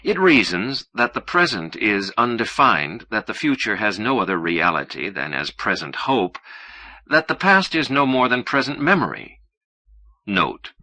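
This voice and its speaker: A man reading literary fiction as an audiobook narrator, in a measured, formal and precise manner. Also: lowish voice, very animated, pushed and bright.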